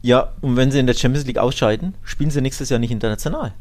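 A man with a medium-pitched voice hosts a casual football podcast. He talks in a colloquial, opinionated way. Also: quick pace, a little mellow. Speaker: podcast host.

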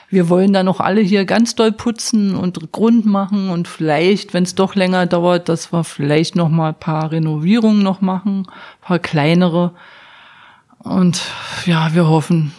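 A woman's voice: lowish voice, a little mellow. A woman working in a pub answers interview questions casually, hesitating and restarting often. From not from Berlin. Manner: chatty, hesitant, many restarts and fillers. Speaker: pub staff.

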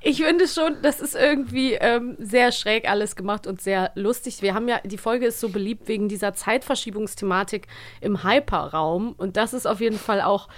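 A woman's voice: mid-range voice, normal speed. A woman co-hosting a podcast in casual chat, giggly and enthusiastic. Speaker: podcast co-host.

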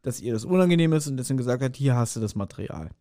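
A man's voice: medium pitch, lively intonation, rapid-fire, mellow. A man co-hosting a podcast in casual chat, speculating and thinking aloud somewhat hesitantly. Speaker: podcast co-host. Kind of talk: casual chat, speculating about an audio drama.

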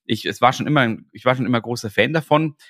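A man's voice: mid-range voice, fast talker, a bit bright, dry recording, studio quality. A man hosting a podcast interview, talking with enthusiasm and plenty of fillers and restarts. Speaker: podcast host.

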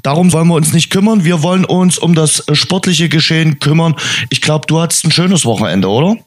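A man's voice: fairly high pitch, brisk, no room sound. Man with a slight cold, chatty. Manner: relaxed, chatty, many filler "äh"s. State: slight cold, voice deeper than usual. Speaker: podcast co-host. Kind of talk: casual sports podcast chat.

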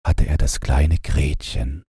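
Very deep, husky male storyteller. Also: no echo, studio quality. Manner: calm, measured, gentle storytelling. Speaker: audiobook narrator. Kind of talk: bedtime fairy-tale narration, read from script.

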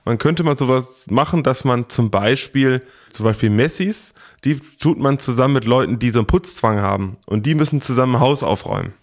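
A man's voice: mid-range voice, a little fast, few pauses. A man co-hosting a podcast, telling anecdotes with amused irony. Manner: ironic, amused storytelling. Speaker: podcast co-host. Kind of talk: casual chat, anecdote.

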